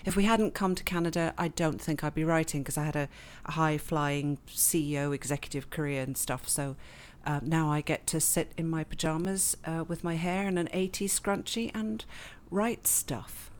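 A woman from England, raised in Switzerland, with an English accent, chatting warmly and laughing often as a novelist being interviewed. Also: low voice, fairly even intonation, normal speed, hardly any pauses. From born in England, grew up in Switzerland. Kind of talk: casual interview chat.

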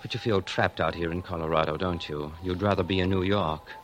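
A sickly, bitter husband with a low voice. He is measured, sardonic and accusing, in 1940s radio drama style, over faint recording noise. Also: steady tone, a bit bright. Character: ailing, suspicious, bitterly knowing husband.

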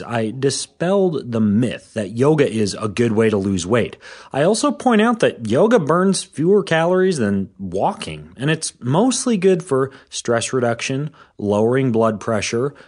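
A man hosting a fitness podcast, a little hoarse, explaining things in an informal scripted way. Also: medium pitch, darkish tone. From Washington State.

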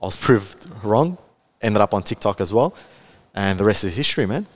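A man's voice: fairly low pitch, animated, moderate pace. Laid-back young Australian man. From Melbourne, Australia. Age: 20s. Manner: chatty, laid-back, many fillers, laughs often. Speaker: guest, content creator. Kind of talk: casual podcast interview.

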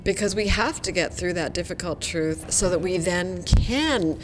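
A woman's voice: lowish voice, measured pace, noisy background. A woman educator answering interview questions in a frank, emphatic way.

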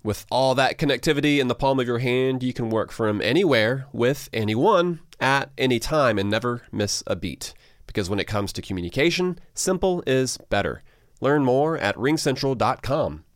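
Male ad announcer, smooth, polished read, very dry sound. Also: mid-range voice, lively intonation, moderate pace, no room sound. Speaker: ad voice-over. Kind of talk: scripted ad read.

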